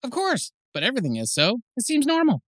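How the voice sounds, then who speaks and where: A man's voice: extremely high pitch, wildly swooping pitch, fast, a bit bright, no room sound, studio quality, exaggerated voice. A man playing a teasing, jokey, easygoing dad in an audiobook. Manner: jokey, casual. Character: playful, teasing, easygoing dad of a young boy. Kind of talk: full-cast audiobook acting.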